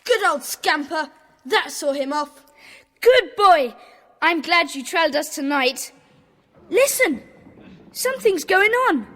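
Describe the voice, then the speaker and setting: A plucky schoolboy leader in a children's audio drama. The voice is piercingly high and bright, swinging up and down with eager, commanding exclamations. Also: female voice, exaggerated voice. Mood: excited. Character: Peter, plucky bossy schoolboy, leader of a child detective club. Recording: faint background noise, cassette dramatization.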